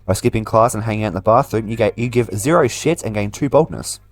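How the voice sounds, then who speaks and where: A man's voice: fairly low pitch, fast. A man doing casual video game commentary, reading the on-screen story aloud and adding his own remarks. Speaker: gaming video host. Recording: faint game music behind a dry voice. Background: music.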